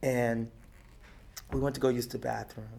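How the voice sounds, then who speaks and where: Deep, raspy-voiced woman telling stories. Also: moderate pace. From Texas. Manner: lively, talkative, laughs often. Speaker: activist interviewee. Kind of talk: oral history interview, storytelling.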